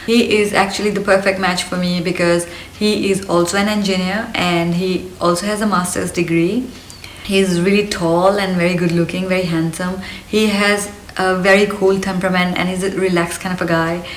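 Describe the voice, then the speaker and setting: A woman in her thirties from India with an Indian accent and Hindi as her first language, talking in a chirpy, energetic and earnest way for a personal vlog. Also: on the low side, normal speed, a little hiss or hum. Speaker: vlogger. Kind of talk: personal get-to-know-me vlog. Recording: roomy, slightly distant mic.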